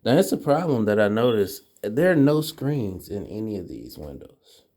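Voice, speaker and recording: A man talking casually and informally with a colleague during an indoor walkthrough, thinking aloud and making quick decisions. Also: on the low side, very animated, darkish tone. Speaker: property manager doing a walkthrough inspection. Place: indoors, rooms of an empty house under inspection.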